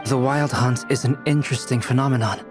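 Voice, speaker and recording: Measured, scholarly researcher voiced by a man over music. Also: mid-range voice, fairly even intonation, lightly acted. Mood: measured, analytical. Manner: measured, formal, analytical. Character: polite, scholarly young male researcher absorbed in theory. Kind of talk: English video game dub acting.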